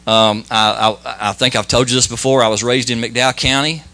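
Folksy preacher man, bright tone. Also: medium pitch, steady tone. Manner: halting and folksy, many restarts and fillers; modest, plain-spoken. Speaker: lay preacher. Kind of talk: informal church sermon with prayer.

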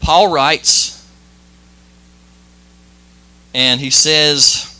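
A man giving an informal church sermon and prayer, folksy and modest, talking in halting phrases with many restarts and fillers. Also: on the high side, steady tone, bright, forceful tone. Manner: modest, plain-spoken. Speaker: lay preacher.

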